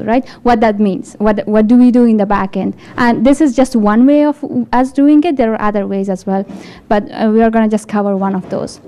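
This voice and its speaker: A woman's voice: mid-range voice, dark tone. A woman from Afghanistan with an Afghan accent, giving a chatty tech conference talk full of rhetorical questions. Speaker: developer support engineer giving a talk. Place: event hall with an audience.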